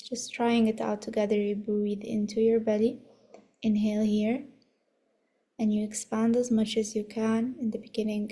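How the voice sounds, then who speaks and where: A woman leading a guided meditation as an instructor, soothing, unhurried and gently instructive, with a soft voice that turns breathy at times. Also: a little high.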